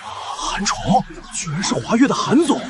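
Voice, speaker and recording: A man's voice: sits high, sing-song, normal speed, crowd noise. A man acting a serious, guarded character in a Chinese romance audio drama, with a wary, dramatic delivery. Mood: astonished.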